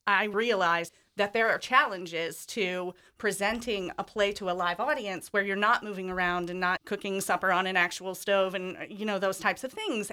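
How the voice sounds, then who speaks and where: A woman stage director talks as an interview guest, thoughtful and articulate. Her voice is bright and medium in pitch. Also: smooth. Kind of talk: explanatory interview talk.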